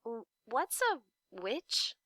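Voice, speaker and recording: Storybook lead: curious young boy, acted by a woman, earnest, bright, animated pitch. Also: very slow, no room sound, clean studio sound, full character voice. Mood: wary, curious. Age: child. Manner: earnest, plain-spoken. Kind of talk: children's storybook read-aloud.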